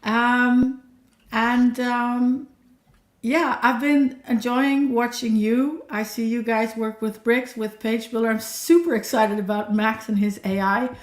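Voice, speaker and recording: A woman's voice: fairly high pitch, slow pace, echoey room. A woman, an accessibility coach, chatting energetically as a livestream guest over a video call. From Netherlands. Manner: chatty, energetic, self-described nonstop talker.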